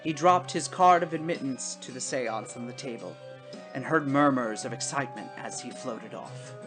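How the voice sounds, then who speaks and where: A woman narrating a literary story as an expressive, dramatic storybook reader. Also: a bass voice, moderate pace. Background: music.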